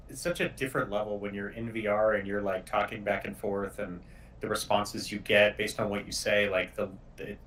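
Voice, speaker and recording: A man's voice: fairly low pitch, a bit bright. A male game developer talking casually in an interview. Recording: distant mic.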